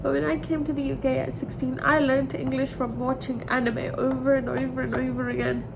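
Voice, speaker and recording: High-voiced young woman, noisy rumbling recording. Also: little pitch movement, fairly quick. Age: 20s. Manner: candid, emotional, repeats herself for emphasis. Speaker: vlogger. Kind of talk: rambling confessional personal talk. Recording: clearly noisy with low rumble.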